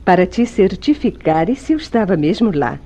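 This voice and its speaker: Theatrical, emotive Brazilian soap-opera wife. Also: female voice, mid-range voice, very animated, moderate pace, warm tone. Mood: reassuring, evasive. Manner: theatrical, emotive, formal diction. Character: secretive young wife, tender, anxious, sweetly deceitful. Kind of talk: old-fashioned Brazilian radio soap acting.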